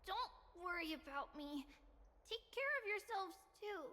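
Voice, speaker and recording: A woman's voice: very high-pitched, animated, measured pace, bright, forceful tone, slight background noise, full character voice. A woman voicing a small, sweet, weary childlike companion in a fantasy video game. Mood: brave, tender. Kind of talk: English video game dub acting. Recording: echoing game audio under music.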